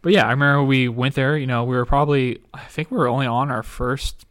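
Guy with a mid-pitched voice, podcast co-host, super casual and colloquial, just chatting with a buddy. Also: fairly even intonation, fast, a bit bright. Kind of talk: casual conversational chat.

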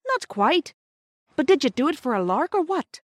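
A woman's voice: high-pitched, big pitch swings, normal speed, no room sound, studio quality, pitched above the performer's own voice. A woman voicing a stern but kindly male station master who scolds in old-fashioned slang, in a storybook reading. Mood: gentler admonishing.

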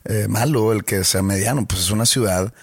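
Deadpan teasing man, Monterrey accent. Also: lowish voice, moderate pace, gravelly, slightly rough, no echo, clean studio sound. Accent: northern Mexican, Monterrey. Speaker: podcast host and touring comedian. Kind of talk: casual podcast banter.

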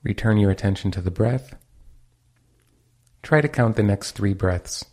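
A man leading a guided meditation as its teacher, giving calm, unhurried instructions. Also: fairly low pitch.

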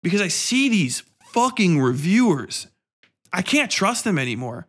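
Male podcast host, slightly high voice, casual ranting delivery, profane and exasperated. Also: very animated, unhurried, a bit bright, husky. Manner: profane, exasperated, blunt complaining.

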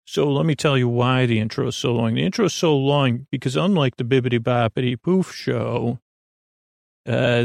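A man hosting a sleep podcast in a rambling, halting monologue that drifts off into tangents and questions to himself. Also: medium pitch, creaky, no echo, studio quality. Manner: meandering, halting, trails off into tangents and self-questioning. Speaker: podcast host.

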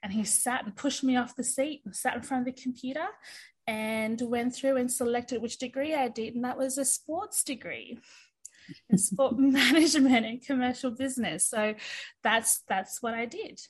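A woman's voice: a little high, moderate pace, breathy edge, rough recording. A woman, talking casually as an interview guest, chatty with plenty of fillers. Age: 30s. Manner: chatty, many fillers, laughs easily.

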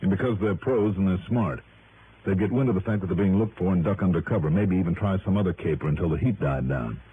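A man's voice: low voice, fast, lightly acted. An actor playing a shrewd, breezy, hard-boiled male investigator in a vintage radio drama, talking in a slangy, musing way. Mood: decisive. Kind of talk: 1950s-60s radio drama acting. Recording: tinny, noisy vintage radio recording.

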